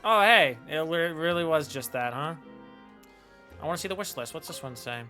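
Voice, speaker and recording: A man's voice: fairly high pitch, expressive, normal speed, sharp tone. A man in his twenties giving casual, slangy live game commentary in a reactive, jokey manner. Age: 20s. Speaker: game streamer. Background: faint game music.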